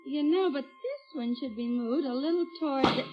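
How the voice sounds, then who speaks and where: A woman's voice: high voice, normal speed, music in the background, lightly acted. An actress playing a wisecracking female friend in a 1940s radio comedy, with a dry, teasing manner. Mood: brisk, friendly. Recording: muffled vintage radio recording.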